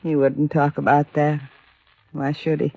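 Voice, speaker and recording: An actress playing a grief-stricken working-class widow, bitter and defensive, with plain plaintive speech in an old radio drama. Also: female voice, deep voice, level tone, a little slow, a little mellow, dry recording. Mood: bitter, upset. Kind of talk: 1950s radio drama acting. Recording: dull vintage radio recording.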